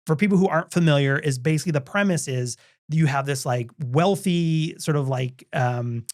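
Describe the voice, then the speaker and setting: A man's voice: a little high, normal speed, darkish tone. A male podcast co-host talking casually and enthusiastically about books, with lots of restarts and fillers. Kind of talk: casual chat.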